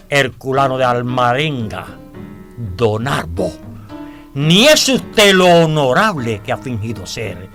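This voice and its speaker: A sly scheming blackmailer in a radio soap, really animated, theatrical, bit of music under him. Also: male voice, mid-range voice, heavily acted. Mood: accusing, confident. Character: scheming, self-assured male blackmailer proposing a treasure alliance. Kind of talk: radio drama acting.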